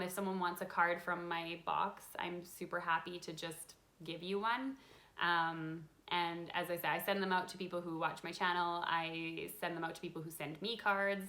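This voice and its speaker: Bright-voiced woman chatting casually. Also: lowish voice. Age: 30s. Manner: chatty and relaxed, reading out viewer questions with frequent ums. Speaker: craft channel host. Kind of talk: live question-and-answer chat. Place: home craft room with a desk, lit by a window.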